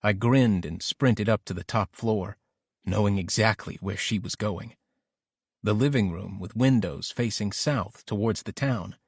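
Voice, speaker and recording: Low-pitched man narrating, very dry sound. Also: unhurried, slightly rough, no echo. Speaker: audiobook narrator. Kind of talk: scripted first-person thriller narration.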